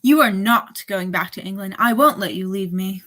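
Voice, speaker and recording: A woman's voice: medium pitch, normal speed, bright, forceful tone, lightly acted. A woman playing a haughty, possessive nightclub singer with imperious, melodramatic delivery in a radio drama. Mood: possessive, defiant. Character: Aisha, haughty, possessive female singer of the Casbah. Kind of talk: 1940s radio drama acting.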